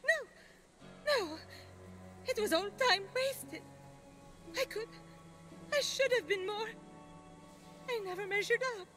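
Vain guilt-ridden goddess, video game cutscene, slow, swooping delivery, dramatic. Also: female voice, very high voice, lively intonation, fairly bright tone, soft background music, heavily acted. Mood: despairing. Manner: dramatic, self-pitying.

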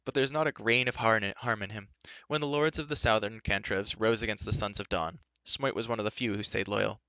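A man's voice: fairly low pitch, steady tone, brisk, sharp tone, clean tone, no echo, muffled recording. A man voicing a genial bard who talks with chatty admiration, as a character in a fantasy storybook. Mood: amused, fond.

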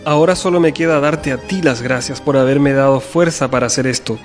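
A man's voice: mid-range voice, brisk, faint music behind, close to an everyday voice. A man playing an earnest, tender, conflicted suitor in a radio soap, speaking in a heartfelt, solemn way. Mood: solemn, grateful.

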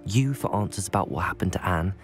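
A young man with an English accent playing an earnest, polite teenage wizard student in a video game, explaining things in a measured way. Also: low voice, expressive. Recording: background music under dialogue.

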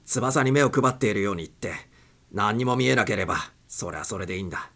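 A man's voice: on the low side, quick pace, creaky, a bit bright. A man voicing a desperate, panicked male passenger in a story reading, urgent and pleading. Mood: insistent.

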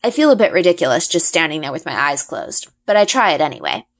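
A woman reads a children's fantasy story aloud. Her voice sits a little low and moves through wide, animated pitch swings as she narrates. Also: fast. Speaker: audiobook-style reader.

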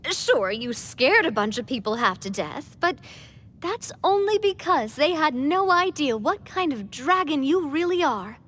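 High, lively spirited young woman. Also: a little slow, heavily acted. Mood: reassuring. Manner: expressive, frank, sympathetic. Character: spirited, kind-hearted young woman adventurer. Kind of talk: animated video game dialogue.

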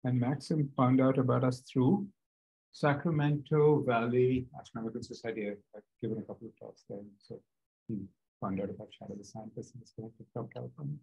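A man's voice: mid-range voice, steady tone, fairly quick, vocal fry, warm tone, breathy edge, lo-fi sound. A man introducing the participants on a video call, enthusiastic about how each one is connected. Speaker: program organizer. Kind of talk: casual introductions.

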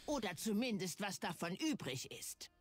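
A woman's voice: mid-range voice, sing-song, normal speed, slightly rough, dry recording. An actress playing a stern female night elf warden in a fantasy game, grave and commanding. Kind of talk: dubbed fantasy game acting.

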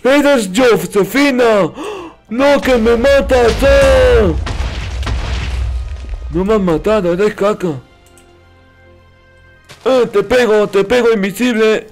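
A man with a very high voice narrates his video game as playful roleplay. He sounds childlike and naive, exclaiming often, with music playing behind him. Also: slow, long pauses. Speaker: gaming video host.

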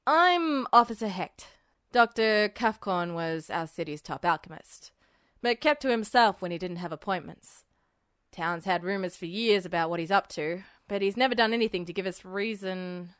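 A woman's voice: medium pitch, expressive, normal speed, a bit bright, smooth, no room sound, studio quality, pitched below the performer's own voice, close to an everyday voice. A woman voicing a matter-of-fact male town police officer with plain, clipped speech, in a story reading. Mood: explaining, uneasy.

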